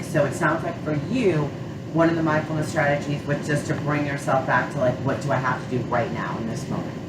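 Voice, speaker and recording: Nasal low-voiced woman with a cold. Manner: gentle, hesitant, many fillers like you know and um. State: has a cold, a little nasal. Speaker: sport psychology consultant. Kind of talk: counseling session. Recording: echoey room, far mic, loud hum.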